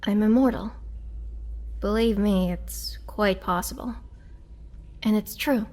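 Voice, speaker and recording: A woman playing a lonely, wry immortal vampire prisoner who looks young, in a halting, dramatic roleplay style. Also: mid-range voice, darkish tone, lightly acted. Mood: stunned, insistent. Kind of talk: dramatic girlfriend roleplay audio acting.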